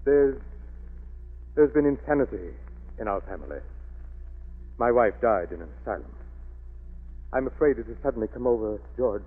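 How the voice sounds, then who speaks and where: An actor playing a middle-aged, tormented wealthy father with a dark secret, anguished and melodramatic in 1940s radio style. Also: mid-range voice, lively intonation, moderate pace, long pauses, lightly acted. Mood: defeated, troubled. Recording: thin phone-like vintage radio recording.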